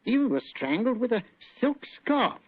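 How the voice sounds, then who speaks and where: An actor playing a deferential, chatty police detective with a working-class London accent and a gravelly, husky voice in an old-time radio drama. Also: male voice, very high voice, big pitch swings, a little slow, no room sound, full character voice. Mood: matter-of-fact storytelling. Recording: dull, band-limited old radio recording.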